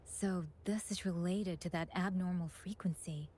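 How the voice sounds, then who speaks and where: An actress playing a composed, courteous chief administrator in a video game. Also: female voice, on the low side, steady tone, moderate pace, dark tone, slight background noise, natural voice. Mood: composed, analytical.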